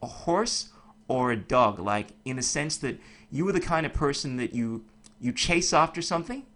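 A man's voice: mid-range voice, expressive, moderate pace, a bit bright. A man hosting a podcast, chatting casually in a rambling, slangy, informal way. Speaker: podcast host. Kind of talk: casual conversational interview.

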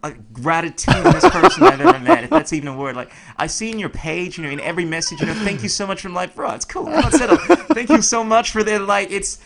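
A man's voice: high-pitched, very animated, quick pace, gravelly, few pauses, crowd noise. A man hosting a podcast, chatting casually in a rambling, slangy, informal way. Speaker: podcast host. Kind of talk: casual conversational interview.

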